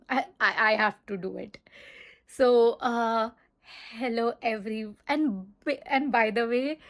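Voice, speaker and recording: Indian woman rambling casually to camera. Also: fairly high pitch. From Mumbai, India. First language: Marathi. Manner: rambling with many fillers and restarts. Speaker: vlogger.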